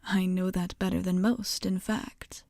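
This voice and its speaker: Casting: actress for female vampire bartender, ASMR roleplay. Unhurried, hushed, intimate, very dry sound; courteous, guarded, old-fashioned in phrasing. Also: on the low side, level tone, creaky, no room sound. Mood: fond, welcoming. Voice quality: soft, intimate, breaking into whispers. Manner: formal, old-fashioned, gently polite phrasing.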